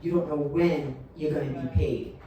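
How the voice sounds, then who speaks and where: A woman in her fifties tells stories in a relaxed way, with a mellow, lowish voice. She sits well back from the mic in a very echoey room. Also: moderate pace, far from the mic, slight background noise. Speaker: panel guest. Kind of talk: panel discussion.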